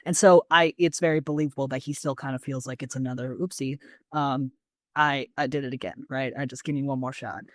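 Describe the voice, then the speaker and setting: An androgynous, mid-pitched voice co-hosts a casual podcast in a dry, close sound. The delivery rambles conversationally, dropping into acted-out asides and casual swearing while retelling scenes. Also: fairly even intonation, fairly quick, no room sound. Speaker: podcast co-host. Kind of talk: casual chat recapping a TV show.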